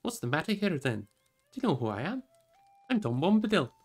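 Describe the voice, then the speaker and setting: A man performs a hearty, carefree old man of the forest in a fantasy storybook reading. The voice rides high and bounces along in sing-song rhymes and exclamations. Faint music plays behind it. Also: very animated, a little fast, breathy edge, heavily acted. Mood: hearty, bustling. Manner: bouncy, rhyming, exclamatory delivery. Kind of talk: fantasy audiobook character voice.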